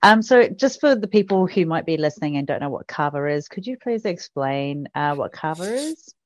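A low-pitched woman hosting a podcast chat. Her voice is notably deep for a woman, and the sound is dry and a little dull and lo-fi. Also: animated, moderate pace, no room sound. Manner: supportive, frequent backchannel replies. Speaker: podcast host. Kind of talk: casual interview.

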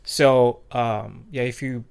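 A man's voice: medium pitch, steady tone, takes it slowly, gravelly, clear, resonant tone. Man, loose rambling chat. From South Korea. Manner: loose and rambling, many fillers and restarts. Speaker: podcast co-host. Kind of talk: casual chat.

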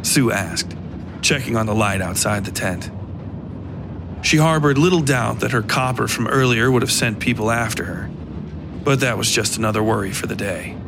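A man narrating a scripted dark fiction story for a podcast. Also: lowish voice, background noise. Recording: clear music bed behind voice. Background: music.

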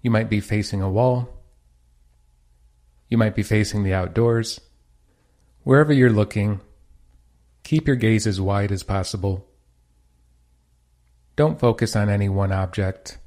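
Male meditation guide, lowish voice, measured and soothing instructional read. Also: a little mellow. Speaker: meditation teacher.

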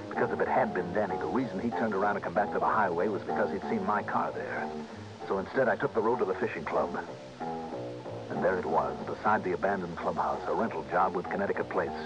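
Hard-boiled male radio sleuth played by an actor, low and terse, dry wit, dull vintage broadcast. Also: close to an everyday voice. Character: tough, wry male insurance investigator. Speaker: lead actor and narrator. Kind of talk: 1960s radio detective drama narration. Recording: band-limited old radio recording, music behind.

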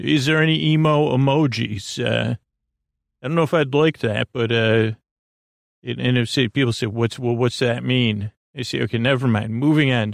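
A man with a gravelly, creaky voice hosts a bedtime podcast, meandering from one tangent to the next with plenty of "uh"s and "like"s. The recording is close and dry, with no room echo. Faint music plays underneath. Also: medium pitch. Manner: meandering, full of tangents and fillers. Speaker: podcast host. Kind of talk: rambling bedtime podcast intro.

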